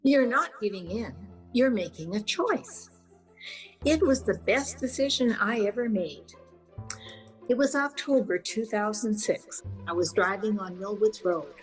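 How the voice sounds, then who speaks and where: An older woman playing a reflective character who tells her story in an audio play, measured and deliberate. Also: medium pitch, lively intonation, faint music behind, close to an everyday voice, poor audio. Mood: resolute, confiding.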